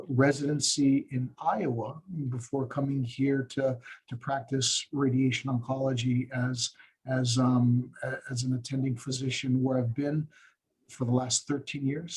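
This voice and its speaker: Husky, flat-voiced man, halting speech. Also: medium pitch, poor audio. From Hawaii. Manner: halting, many fillers and restarts. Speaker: radiation oncologist. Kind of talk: webinar discussion.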